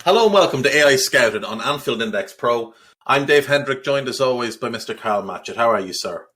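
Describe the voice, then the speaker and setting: A man's voice: medium pitch, animated, fairly quick, fairly bright tone. A man hosting a football podcast, talking in a blunt, opinionated way with frequent restarts. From Ireland. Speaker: podcast host. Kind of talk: casual football podcast chat.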